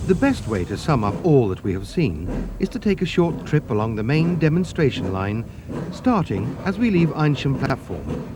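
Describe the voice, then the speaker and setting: Lively-voiced male documentary narrator. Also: medium pitch, normal speed, noisy background. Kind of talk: scripted narration.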